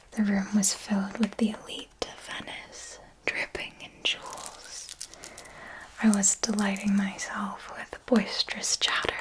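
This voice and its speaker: A woman playing an elegant, possessive ancient vampire mentor in a whispered ASMR roleplay, speaking in a deliberate, formal, endearing way. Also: mid-range voice, natural voice, low-quality recording. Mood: hushed, reminiscing.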